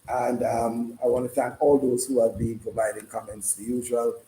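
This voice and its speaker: A man hosting a casual live sports discussion, speaking haltingly and repeating himself. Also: medium pitch, little pitch movement, mellow. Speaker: sports radio host. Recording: roomy, noisy room sound.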